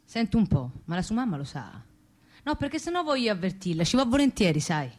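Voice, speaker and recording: A woman's voice: medium pitch, very animated, a little fast. A scornful widow in a naturalistic radio drama. She speaks with a Tuscan accent, blunt and sarcastic, throwing her bitterness straight at whoever is listening. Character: bitter, scornful widow railing at a family friend. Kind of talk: naturalistic radio theatre acting.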